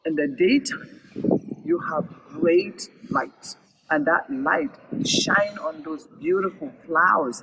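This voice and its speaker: A man with a high-pitched voice tells a story aloud. His delivery is very lively in pitch, with deliberate, emphatic phrasing. The recording is clearly noisy, with clicks. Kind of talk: oral storytelling read-aloud. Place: virtual event recording.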